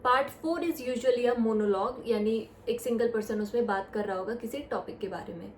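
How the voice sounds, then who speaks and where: A young woman with an Indian English accent walking viewers through an exam in a casual step-by-step tutorial. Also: fairly high pitch. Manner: explains step by step, slows down on English phrases. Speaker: test-prep YouTuber. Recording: echoey room with faint noise.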